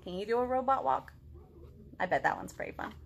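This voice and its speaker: A woman's voice: a little high, huge pitch swings, brisk, creaky, breathy edge. A woman in her thirties leading a children's storytime, asking simple questions as she talks to young listeners. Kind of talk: interactive picture-book storytime for children. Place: living room at home.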